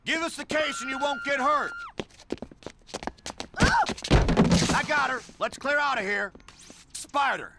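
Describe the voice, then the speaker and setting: A man's voice: very high-pitched, animated, takes it slowly, pauses often, very noisy, exaggerated voice. An actor playing a thuggish male henchman who barks threats. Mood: threatening. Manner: barking, threatening.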